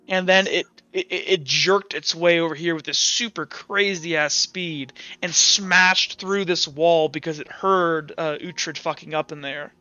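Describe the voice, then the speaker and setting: A man with a high, crisp voice works as game master, narrating in a casual, stop-and-start way with plenty of swearing. The sound is dry and slightly muffled. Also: sharp tone, no room sound. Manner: halting, casual, swears freely. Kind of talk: improvised tabletop game narration.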